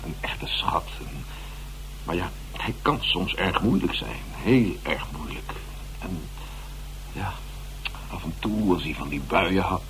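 A man's voice: low-pitched, fairly bright tone, rough recording. A distraught theatre director. He hesitates, repeats himself and dramatizes his reluctance. It is an old radio play with faint hum and hiss. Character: distraught, self-important theatre company director feigning reluctance.

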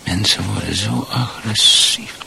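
An actor playing a bewildered, meekly polite husband losing his grip in a radio drama, muttering haltingly and repeating himself. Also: fairly low pitch, vocal fry, noisy background. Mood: muttering, puzzled.

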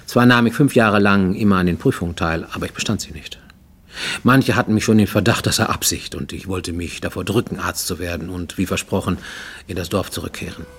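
An actor playing a brooding Japanese man on the run, confessing in a husky, intense radio play monologue that drops to near-whispers. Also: deep voice, a little fast, a little hiss or hum. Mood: defensive, weary.

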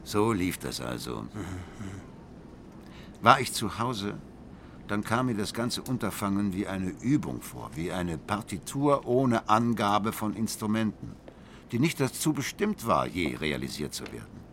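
Brooding, deep-voiced obsessive husband. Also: male voice, big pitch swings, a bit bright, slight background noise, heavily acted. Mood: brooding, controlled. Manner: deliberate, intense, precise, dramatic swings. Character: brooding, obsessive husband coldly recounting his grim plan. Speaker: first-person narrator of an audio drama. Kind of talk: literary radio drama narration. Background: music.

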